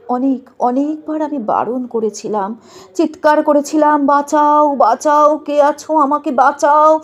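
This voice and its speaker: Woman voicing a grieving young woman's ghost, slow, high, tearful, pleading. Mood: anguished. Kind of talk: dramatic recitation.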